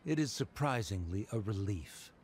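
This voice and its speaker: A man's voice: lowish voice, animated, moderate pace, a little mellow, slight background noise, lightly acted. An actor playing a stern, haughty older father and scholar in a video game, speaking formally and pompously. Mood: haughty, grudging.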